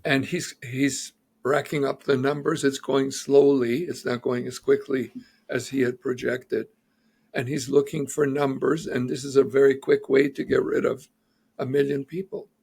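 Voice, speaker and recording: A man's voice: medium pitch, little pitch movement, normal speed, vocal fry, darkish tone, husky. A man who is an immigration lawyer, methodically explaining legal news in an interview and citing figures and reports.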